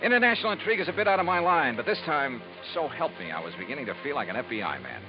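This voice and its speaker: A tough, fast-talking insurance investigator in an old radio detective play. His delivery is lively and quick, and the sound is dull and lo-fi like a vintage broadcast. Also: fairly high pitch, music in the background. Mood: narrating. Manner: clipped, pressing questions. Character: hard-driving male insurance investigator, brisk and tough. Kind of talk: 1950s radio drama acting.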